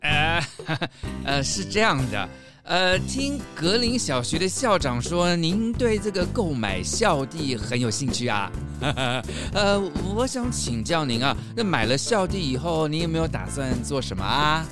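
A man's voice: a little high, sing-song, measured pace, over music. A man playing a timid, ingratiating neighborhood patrol dog in a children's audio drama, polite and stammering. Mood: flustered. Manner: stammering, nervous chuckling, polite.

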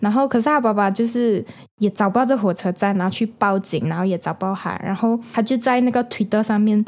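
A woman's voice: mid-range voice, fast talker, a little mellow. A woman, a podcast host sharing a story in casual conversation.

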